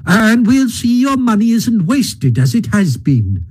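A man's voice: high-pitched, big pitch swings, unhurried, a little mellow, breathy edge, pitched above the performer's own voice, exaggerated voice. A man voicing a grave, well-meaning mole in a storybook, earnest and reassuring.

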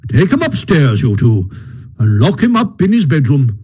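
A man voicing a stern, elderly male badger, speaking slowly and firmly in a storybook reading. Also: fairly high pitch, big pitch swings, mellow, husky. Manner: slow, measured, firm. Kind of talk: audiobook reading.

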